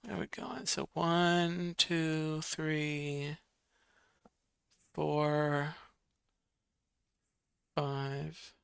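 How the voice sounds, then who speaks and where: A man's voice: on the high side, steady tone, very slow, vocal fry, pauses often, smooth, dry recording, clean studio sound. A man hosting a casual live stream, reading viewer comments and counting votes aloud while muttering to himself.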